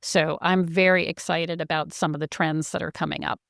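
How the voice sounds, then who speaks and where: Woman exec in an interview, lowish voice, dry sound, explains stuff earnestly and clearly. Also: steady tone, moderate pace, fairly bright tone, no room sound. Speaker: company chief executive, interview guest.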